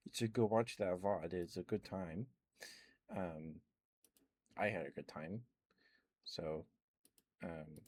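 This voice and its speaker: A young man, an ASMR streamer, rambling softly in casual chat with frequent chuckles. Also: deep voice, slightly rough. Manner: soft-spoken, rambling, chuckles often.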